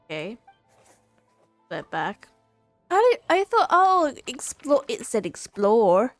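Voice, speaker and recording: A woman live-streaming a game, casually reacting and thinking aloud in halting bursts. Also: fairly high pitch, sing-song, dry recording, studio quality. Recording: game music behind the voice. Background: game music.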